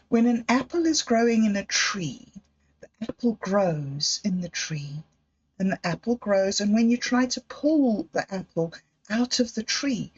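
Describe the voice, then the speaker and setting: A middle-aged woman hosting a livestream, telling personal stories in a slow, thoughtful, reflective way. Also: a little high, a little mellow, husky. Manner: slow, thoughtful storytelling with emotional quotes. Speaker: livestream host. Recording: dull lo-fi livestream audio.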